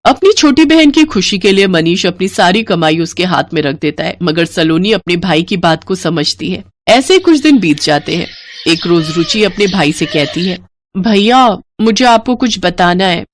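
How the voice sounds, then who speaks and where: A woman narrating a scripted moral story in an expressive, dramatic storytelling manner. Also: fairly low pitch, moderate pace, slight background noise.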